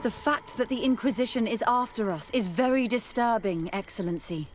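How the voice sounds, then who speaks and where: An actress playing an earnest, fervent scholarly nun in a fantasy video game. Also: female voice, on the high side, normal speed, a little hiss or hum, natural voice. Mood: grave. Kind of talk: scripted fantasy game dialogue. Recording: game music behind the voice. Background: game music.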